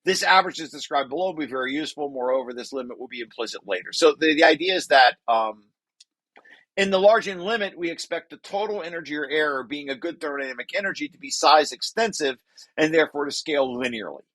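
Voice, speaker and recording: A man with a fairly high, bright and sharp voice presents a technical paper in a very dry recording. He reads passages out and explains them informally, often restarting and repeating words as he corrects himself. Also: lively intonation, fast talker, no room sound, low-quality recording.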